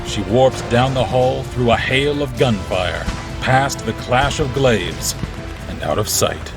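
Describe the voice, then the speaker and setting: A man's voice: medium pitch, slow pace, music in the background. A man playing a solemn, loyal royal marshal in an audio drama, grave and formal as he recounts events. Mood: somber narration. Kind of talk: first-person audio drama narration.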